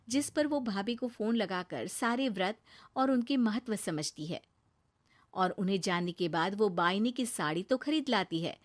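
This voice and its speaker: A woman reading scripted narration for a moral story as its narrator. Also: mid-range voice.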